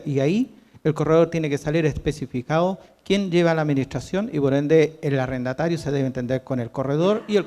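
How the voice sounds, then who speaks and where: A man's voice: a little high, darkish tone. A man in the audience, a real estate broker, adding an explanatory comment after a slightly hesitant start.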